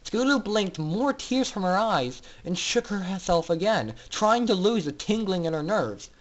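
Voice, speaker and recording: A man's voice: high-pitched, animated, unhurried, dull audio. A man reading a story aloud as narrator in a theatrical, dramatic style. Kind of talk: dramatic scripted fan fiction narration.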